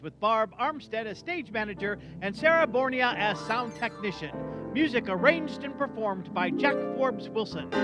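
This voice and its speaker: A man reading scripted closing announcements in old-time radio style. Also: extremely high pitch. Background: loud music.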